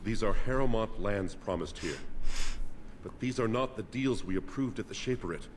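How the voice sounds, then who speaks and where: An actor playing a solemn, dignified elder dwarven keeper of records in a fantasy video game, speaking formally and gravely. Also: male voice, on the low side, moderate pace, close to an everyday voice. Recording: game audio with clear background noise.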